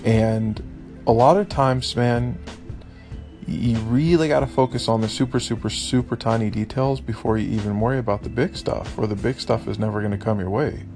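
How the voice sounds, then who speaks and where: A man talks loosely in a casual podcast ramble, his voice a little raspy and gravelly with fry at the bottom. He mimics stiff phrases by jumping up in pitch. Faint music plays underneath. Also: normal speed. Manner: animated, mimics voices with high pitch jumps. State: slightly raspy from singing. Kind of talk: casual spoken daily podcast. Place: lives abroad in Vietnam.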